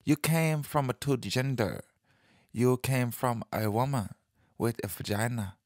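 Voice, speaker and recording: A man's voice: mid-range voice, measured pace, darkish tone, dry recording, studio quality, close to an everyday voice. A man playing a self-assured, traditional foreign sage as a radio guest, speaking broken English in emphatic pronouncements. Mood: firm. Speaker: recurring radio show guest. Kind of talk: comedic radio interview.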